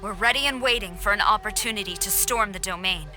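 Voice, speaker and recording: A woman voicing a stern, dutiful female general who speaks formally and with measured command. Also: medium pitch, sharp tone, faint music behind. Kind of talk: game cutscene voice acting.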